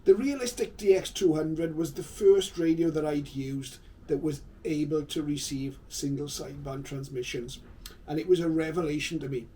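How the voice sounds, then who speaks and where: Man in his fifties, soft mellow tone, slightly raised pitch, unhurried explanatory video narration, reminiscing. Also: steady tone. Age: 50s. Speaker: vlogger, shortwave radio hobbyist. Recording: slightly distant mic, faint background noise.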